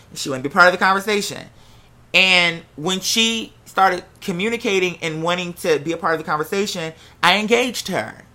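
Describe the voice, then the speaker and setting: Bright-voiced American casual storyteller, candid and animated. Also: medium pitch. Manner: acts out quoted remarks while telling.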